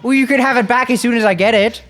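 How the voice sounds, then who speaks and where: A man's voice: extremely high pitch, fast talker, fairly bright tone. A man playing a tabletop role-playing game, chatting and improvising casually in a jokey, protesting manner.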